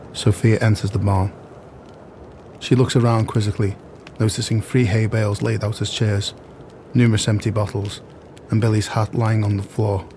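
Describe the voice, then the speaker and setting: A man narrating an audio play, reading stage directions in a measured manner. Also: lowish voice, warm tone, slight background noise.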